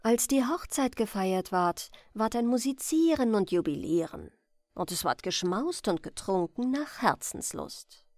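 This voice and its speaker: A woman reading a bedtime fairy tale as storyteller, in a gentle, expressive manner. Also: on the high side, measured pace, no echo, clean studio sound.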